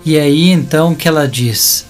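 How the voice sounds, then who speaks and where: A man's voice: fairly high pitch, moderate pace. A man with a Brazilian accent narrating a scripted story dramatically, as in an audio drama. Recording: music bed behind narration.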